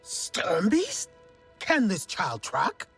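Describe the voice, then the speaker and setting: A woman playing a stern, challenging alien hunting mentor with a husky, breathy voice and probing manner in a game cutscene with music. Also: medium pitch, wildly swooping pitch, drawn-out words, full character voice. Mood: skeptical. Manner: probing, skeptical. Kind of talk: video game cutscene acting. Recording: music behind the voice.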